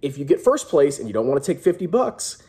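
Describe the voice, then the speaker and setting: Casting: male vlog host, thirties, rough-edged husky voice, lively swooping delivery, emphatic joking showman. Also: on the high side, fast talker. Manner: brash, jokey, emphatic showman. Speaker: personal finance vlogger, host. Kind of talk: casual announcement to camera. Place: small indoor room at home.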